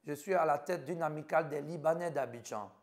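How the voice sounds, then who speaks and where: A man's voice: a little high, a little fast. A man in his fifties from Côte d'Ivoire with an Ivorian French accent, giving an earnest personal account in an interview and speaking in short listed phrases. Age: 50s. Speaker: doctor interviewed about his life.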